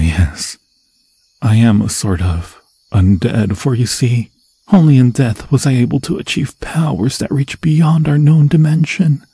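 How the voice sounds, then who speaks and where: A man voicing an obsessed undead forest demon in an ASMR fantasy roleplay, seductive and menacing, with a husky, breathy voice that often drops to a whisper and slow, theatrical, intimate phrasing. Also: mid-range voice, warm tone, dry recording, heavily acted. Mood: ominous, possessive. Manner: slow, theatrical, intimate, crooning endearments in archaic formal phrasing.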